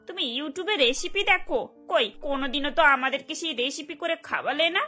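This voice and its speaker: A woman's voice: high-pitched, normal speed, bright, forceful tone, faint music behind. A woman voicing a bossy, demanding middle-aged mother-in-law in a cartoon, with a scolding, domineering manner. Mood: nagging, instructing. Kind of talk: Bengali cartoon voice acting.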